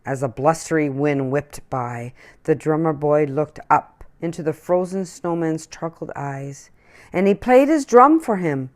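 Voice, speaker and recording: A woman reading a children's picture book aloud in an expressive storytelling manner. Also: low-pitched, a little slow.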